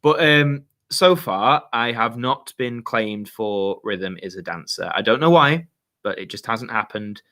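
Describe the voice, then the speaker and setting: A young man, his voice a little hoarse. He hosts his own channel, chatting loosely through viewer questions with easy slang and frequent fillers. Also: medium pitch, expressive, measured pace, pushed and bright. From Yorkshire, England. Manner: chatty, laid-back, lots of fillers and slang. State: slightly hoarse. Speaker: YouTube channel host. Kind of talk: casual livestream chat, reading viewer questions.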